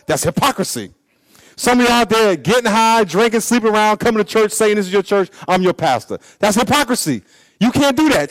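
A pastor in his fifties with a high voice, preaching bluntly and with humor. His pitch swings up and down and his pace jumps between slow and fast. The sound is dry and close, with little room around it. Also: dry recording. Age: 50s. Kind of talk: sermon.

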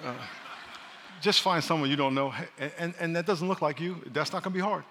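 A pastor preaching a sermon, loud and joking, calling on his congregation to answer back. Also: a little high, fairly quick, slight background noise. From New York. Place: large church hall. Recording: live sermon in a reverberant hall.

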